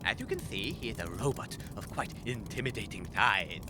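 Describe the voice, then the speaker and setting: A man's voice: fairly low pitch, expressive, moderate pace, natural voice. A man playing an eccentric, courteous junkyard wizard, speaking with theatrical politeness in a comic audio drama. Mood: hesitant, explanatory. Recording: clear mechanical ambience and vehicle noise.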